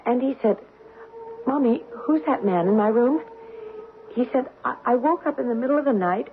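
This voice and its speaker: A woman's voice: fairly high pitch, animated, normal speed, narrow, tinny audio, natural voice. An actress playing a frightened, anxious young wife and mother in an old radio drama, nervous and hesitant. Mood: anxious, earnest. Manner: nervous, hesitant, repeating words. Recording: band-limited, noisy old radio recording.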